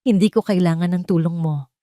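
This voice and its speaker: A woman's voice: fairly low pitch, brisk, dark tone, no echo, studio quality, close to an everyday voice. A woman voicing a guarded, proud female company boss with a curt, icy manner in an audio romance drama. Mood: cold, hostile.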